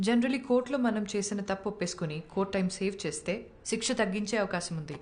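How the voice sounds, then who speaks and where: Actress as a brisk, scolding woman lawyer. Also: mid-range voice, lively intonation, darkish tone, natural voice. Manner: brisk, scolding, mixing English into Telugu. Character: sharp, blunt young woman lawyer. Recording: film soundtrack with clear music behind.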